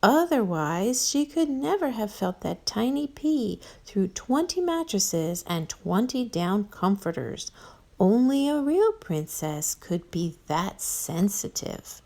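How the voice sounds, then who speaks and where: Woman, children's storyteller, unhurried pace, sing-song varied pitch, playful, expressive read-aloud. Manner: expressive, addresses listeners playfully.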